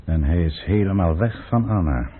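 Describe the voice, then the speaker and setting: An actor playing a cold, scheming Russian count in a Dutch radio play, theatrical and insinuating. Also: male voice, deep voice, animated, moderate pace, a little hiss or hum. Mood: cold, plotting. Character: scheming Russian count, commanding, cold, silky courtly conspirator. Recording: muffled, band-limited old radio recording.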